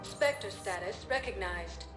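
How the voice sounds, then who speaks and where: A woman's voice: medium pitch, animated, roomy sound, music in the background. A woman playing a polite, impersonal automated announcement system in a sci-fi video game. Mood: neutral, polite. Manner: measured, impersonal.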